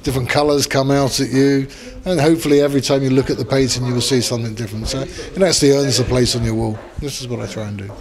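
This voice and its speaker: A man's voice: mid-range voice, steady tone, fast, hardly any pauses, a crowd in the background. A male painter talking earnestly about his paintings, stumbling over his words at first. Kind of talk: interview answers.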